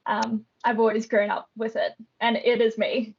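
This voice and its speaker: A young Australian woman answering interview questions in a relaxed, thoughtful way, with frequent ums. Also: a little high, normal speed, gravelly, slightly rough, lo-fi sound. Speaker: guest artist.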